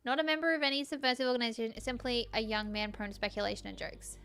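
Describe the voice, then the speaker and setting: A woman's voice: fairly high pitch, fairly even intonation, quick pace, a bit bright, clear, resonant tone. A woman reading a visual novel's composed palace advisor aloud in a formal, matter-of-fact way, as if reporting to royalty. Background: background music.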